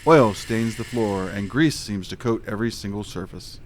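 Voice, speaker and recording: An actor playing a clueless, quirky dad detective, narrating in an earnest, scene-setting way for a comic audio drama. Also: male voice, lowish voice, normal speed, slight background noise, lightly acted. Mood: wry narration. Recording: faint music under the voice.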